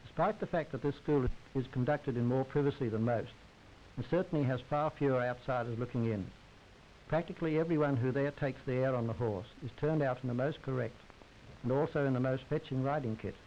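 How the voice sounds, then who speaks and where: Male, droll formal 1930s newsreel narrator, mid-pitched, on a muffled vintage soundtrack. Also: a little fast, darkish tone, a little hiss or hum. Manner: wry, droll, formal society commentary.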